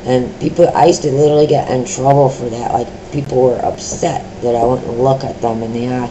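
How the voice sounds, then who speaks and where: A middle-aged woman with a deep voice, sharing her own experiences in a personal vlog, speaking slowly with many pauses, ums and restarts. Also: steady tone, mellow, slight background noise, poor audio. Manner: slow, halting talk with many fillers and restarts.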